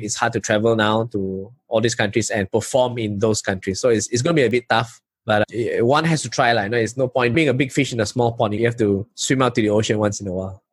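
A Malaysian-accented man, a stand-up comic, spins animated stories in a relaxed interview and now and then drops a local "lah". His voice has a husky grain, and the recording is dry and close. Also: mid-range voice, no room sound. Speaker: stand-up comedian, guest. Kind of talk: casual interview storytelling.

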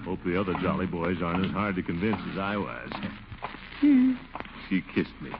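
A man playing a pompous, blustering middle-aged man in 1940s radio comedy, chuckling and swooping up high. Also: very animated, normal speed. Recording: muffled old radio recording with hiss.

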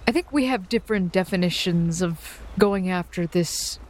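A woman's voice: lowish voice, a little mellow. A woman playing a tabletop roleplaying game, slipping in and out of character in a playful, chatty way. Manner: playful, chatty, quick to laugh at herself. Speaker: player.

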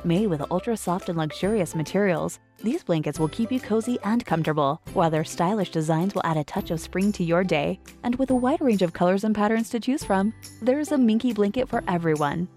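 A woman's voice: mid-range voice, animated, brisk, few pauses, a little mellow, faint music behind. A woman reading a scripted advertisement as an announcer.

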